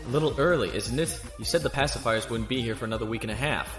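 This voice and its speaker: A man's voice: medium pitch, fast talker, fairly bright tone, soft background music, close to an everyday voice. Defiant male lieutenant played by an actor. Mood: wry, guarded. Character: defiant male military lieutenant held prisoner. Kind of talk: science fiction audio drama acting.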